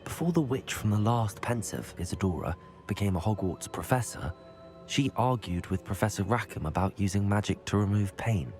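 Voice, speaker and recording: An actor playing an earnest young wizard student in a game cutscene, speaking politely and plainly. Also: male voice, lowish voice, expressive, normal speed, a little mellow. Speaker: player character. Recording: faint music behind.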